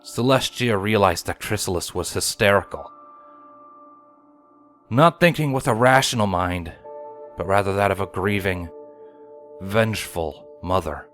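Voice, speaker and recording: A man's voice: deep voice, a little slow, pauses often, fairly bright tone, faint music behind. A man reading a scripted story aloud as its narrator. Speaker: fan fiction reader.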